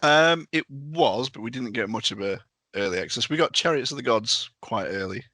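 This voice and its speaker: Bright, close-sounding man in podcast chat. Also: mid-range voice, animated, normal speed, dry recording. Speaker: podcast guest. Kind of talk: casual chat.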